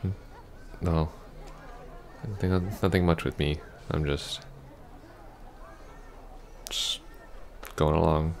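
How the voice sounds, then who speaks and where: A man playing an awkward, apologetic young ex-boyfriend in an ASMR roleplay, halting and stammering. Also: rumbling low, steady tone, creaky, slight background noise, exaggerated voice. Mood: awkward, wistful. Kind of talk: ASMR roleplay, soft intimate acting.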